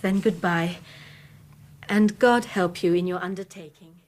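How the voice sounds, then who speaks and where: An actress playing a strong-willed, loyal young Victorian gentlewoman, earnest and well-spoken, with an English accent in a radio drama. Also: fairly low pitch, unhurried, close to an everyday voice. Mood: anxious.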